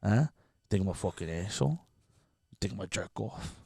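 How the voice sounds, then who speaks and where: A man's voice: on the low side, lively intonation, moderate pace, creaky, darkish tone, breathy edge, no room sound, clean studio sound, heavily acted. A man voicing a brash, profane New York Italian tough guy who makes menacing threats. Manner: menacing, profane street bravado.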